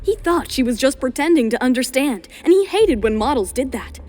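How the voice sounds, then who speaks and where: A woman's voice: high-pitched, lively intonation, brisk, darkish tone. A woman narrating a scripted romance drama as its storyteller.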